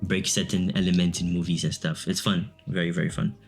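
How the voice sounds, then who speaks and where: A young man with a Ghanaian accent answers questions in a relaxed, chatty way, often breaking into laughter. His voice sits fairly low. Soft music plays faintly behind him. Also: a little fast. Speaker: guest, digital artist. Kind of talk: casual interview chat.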